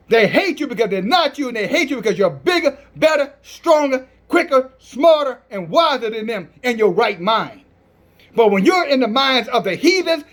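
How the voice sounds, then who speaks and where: Male preacher, strong and declamatory, pitched extremely high with lively swings, forceful and defiant in scripture commentary. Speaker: Hebrew Israelite preacher. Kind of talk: fiery sermon with scripture reading and commentary.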